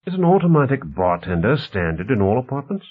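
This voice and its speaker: A man voicing a polite, inquisitive titled gentleman in an audiobook, courteous, formal and tentative. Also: medium pitch, sing-song, brisk, pitched above the performer's own voice. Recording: narrow phone-like band.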